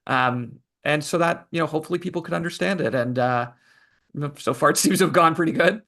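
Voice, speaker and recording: Relaxed man giving interview answers. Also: a little high, brisk. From Canada. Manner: relaxed, thoughtful, many fillers. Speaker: podcast guest, author.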